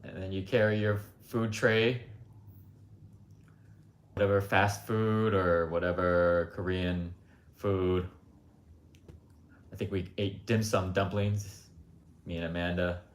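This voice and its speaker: A man holds forth on a livestream in a low voice. His talk is blunt and rambling, full of "like" and "um" as he circles back to his point. The sound carries a roomy echo. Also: little pitch movement, slow, pauses often. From United States. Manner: rambling, blunt, frequent asides with "like" and "um". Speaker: livestream host. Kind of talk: casual opinionated monologue.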